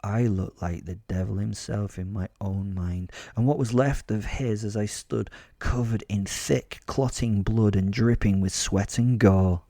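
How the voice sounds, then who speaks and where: A man's voice: lowish voice, fairly even intonation, unhurried, few pauses, warm tone, no echo, clean studio sound. A British man playing a gleefully sadistic, sardonic werewolf serial killer, narrating a horror audio drama in the first person with sweary, darkly comic relish. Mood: blissful, menacing.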